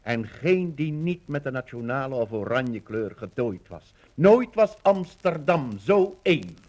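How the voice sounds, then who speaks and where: Animated, dramatic male radio narrator. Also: mid-range voice, unhurried. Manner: formal, dramatic. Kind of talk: scripted 1950s radio documentary narration.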